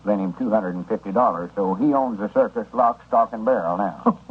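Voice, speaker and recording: An actor playing a folksy rural storekeeper with an Arkansas country drawl in a 1930s radio comedy. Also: male voice, fairly low pitch, fairly quick, husky. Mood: matter-of-fact. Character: folksy rural storekeeper, reluctant partner in his friend's schemes. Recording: muffled, noisy 1930s radio recording.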